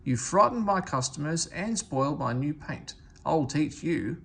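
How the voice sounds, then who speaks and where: A man's voice: fairly high pitch, animated, a little slow, gravelly, fairly bright tone, slight background noise, heavily acted. Man playing an indignant, fussy barber. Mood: cross. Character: cross, fussy male barber, easily offended.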